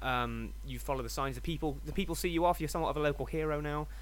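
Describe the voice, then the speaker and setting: A man's voice: on the high side, very fast. A man narrating as game master of a tabletop game in a casual, rambling way, swearing freely and restarting often.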